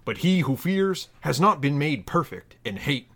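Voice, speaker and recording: A man playing a solemn preacher, giving a dramatic, declamatory reading of parody scripture with deliberate phrasing. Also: fairly high pitch, sing-song, a bit bright, exaggerated voice.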